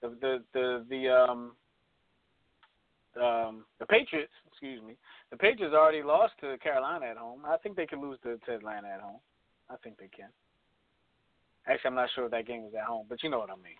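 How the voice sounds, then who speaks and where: A man hosting a casual sports radio show, picking games with animated restarts, his voice going hoarse. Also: medium pitch, brisk, long pauses, no room sound. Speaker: sports talk show host. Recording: dry, tinny phone-like sound.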